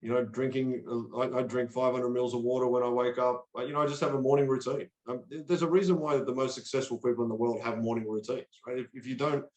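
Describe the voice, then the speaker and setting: A man's voice: medium pitch, fairly even intonation, quick pace, hardly any pauses, darkish tone, roomy sound, poor audio. A man from Australia, a guest coach talking earnestly and motivationally in a podcast interview, often repeating words as he starts a thought. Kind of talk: conversational interview.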